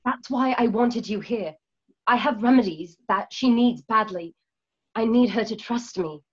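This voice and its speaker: A woman playing a calm, wise healer in a radio drama, speaking in measured, formal phrases. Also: fairly high pitch, slightly rough. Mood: urgent. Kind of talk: online radio drama acting. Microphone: video call, home setup. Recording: dull lo-fi video call.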